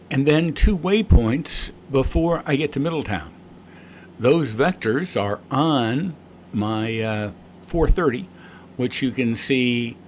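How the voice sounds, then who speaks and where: A man who is a private pilot, explaining his flight and making radio calls. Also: mid-range voice. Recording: cockpit and radio noise.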